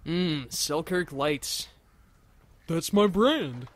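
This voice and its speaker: A man's voice: a little high, lively intonation, extremely slow. A man chatting casually as a wry, joking co-commentator on a video game. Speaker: co-commentator on a let's play. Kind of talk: casual chat reacting to gameplay.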